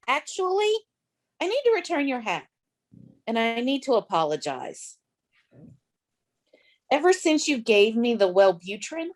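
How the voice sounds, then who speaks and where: Casting: woman as sensitive, remorseful shark for musical comedy; high-pitched, earnest, halting apologizer. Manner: halting, therapy-speak apologizing. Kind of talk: table read of a comic musical.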